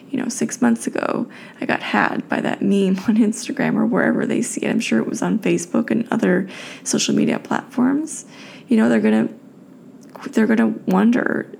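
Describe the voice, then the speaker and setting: Tired raspy-voiced woman podcaster. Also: medium pitch, warm tone, a little hiss or hum. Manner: reflective, searching, with many fillers and restarts. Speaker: podcast host. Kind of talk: solo podcast commentary.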